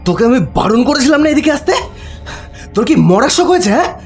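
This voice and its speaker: A man's voice: very animated, a little fast, darkish tone, soft background music, exaggerated voice. A man acting an angry, possessive young friend with a commanding, menacing manner in a horror audio drama. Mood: furious scolding. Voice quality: booming voice.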